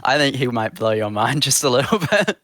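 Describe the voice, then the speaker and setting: A young adult man with a bright, forward voice, recorded dry and close with no room sound. He explains with easy confidence, steadily correcting the others in casual chat. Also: mid-range voice, lively intonation, brisk, no room sound. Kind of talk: casual chat among players.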